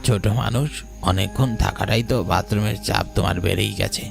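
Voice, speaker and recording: A man voicing a curt, ominous, shawl-wrapped village ghost in a horror cartoon, with a gravelly, creaky voice. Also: on the low side, fairly even intonation, brisk, music in the background. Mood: curt, eerie.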